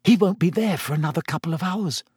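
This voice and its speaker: An anxious storybook schoolgirl, voiced by a male narrator, high and urgent. She sounds worried, with pitch that jumps about. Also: lively intonation, fast talker, husky, no echo, studio quality. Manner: hushed, worried. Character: anxious, urgent teenage girl in hiding.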